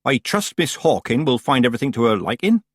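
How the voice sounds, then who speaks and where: A man voicing a stern old woman witch who speaks calmly, coldly and tersely. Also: mid-range voice, brisk, slightly rough, dry recording, voice pushed up. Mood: icy calm. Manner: calm, clipped, coldly controlled.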